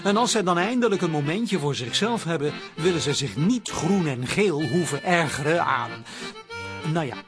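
A man's voice: a little high, expressive, moderate pace. A man narrating a wry scripted introduction to a radio show. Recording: music under the voice.

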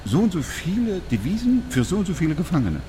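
An actor playing an earnest, troubled East German professor in a radio drama, hesitant at times and emphatic at others. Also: male voice, a little high, wildly swooping pitch, fast talker, dark tone, a little hiss or hum. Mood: appalled.

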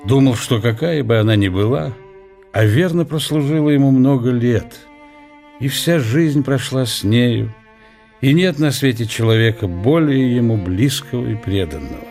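A man's voice: mid-range voice, expressive, normal speed, darkish tone. Reflective male narrator over faint music. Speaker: narrator of a character's thoughts. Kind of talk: scripted reflective narration for a radio drama.

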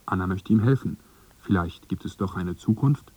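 Low, mellow male radio announcer. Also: normal speed, breathy edge, distant mic, lo-fi sound. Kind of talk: scripted narration introducing a radio play.

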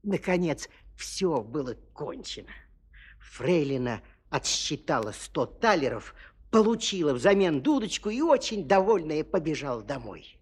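A male storyteller with a high, husky voice narrates for children. His delivery is expressive and emphatic, with very animated intonation. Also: measured pace. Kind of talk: scripted fairy-tale narration for children.

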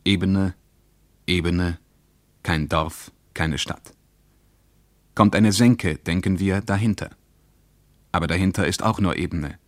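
A man playing a weary traveller reading his journal in a radio drama, in measured, short descriptive phrases. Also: low-pitched, no room sound. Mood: weary, observant. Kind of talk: literary radio drama diary narration.

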